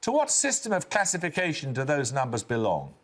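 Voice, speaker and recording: An older man hosting a television quiz, reading questions in a crisp, brisk manner. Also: mid-range voice, wildly swooping pitch, heavy background noise. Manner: crisp, brisk quizmaster delivery. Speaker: quiz show host.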